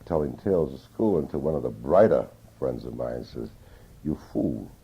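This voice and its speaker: An older man recalling his childhood in an oral history interview, speaking slowly and reflectively with many hesitations, on an old tape recording. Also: very deep voice, expressive, gravelly, breathy edge. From Newton, Massachusetts. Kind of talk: reminiscing in an interview. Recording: dull lo-fi 1981 tape.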